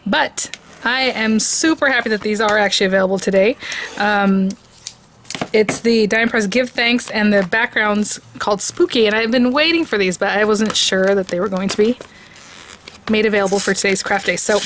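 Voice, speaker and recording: Hoarse, chatty woman crafter. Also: mid-range voice, moderate pace, a little hiss or hum. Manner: chatty and excitable, gushing over products. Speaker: craft video host. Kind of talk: casual product review and crafting demo.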